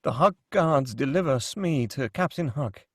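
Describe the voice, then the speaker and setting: A man's voice: mid-range voice, animated, measured pace, dry recording, studio quality. A man reading screenplay stage directions as narrator at a table read.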